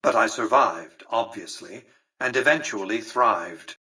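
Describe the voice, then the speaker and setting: A man's voice: lowish voice, animated, measured pace, sharp tone, husky, recorded from a distance, heavily acted, rough recording. An actor playing an imperious, calculating older male tycoon in a video game, speaking in a formal, precise and patronizing way. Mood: measured, condescending. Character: imperious, calculating elderly male tycoon, coolly visionary mastermind. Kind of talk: scripted video game character dialogue.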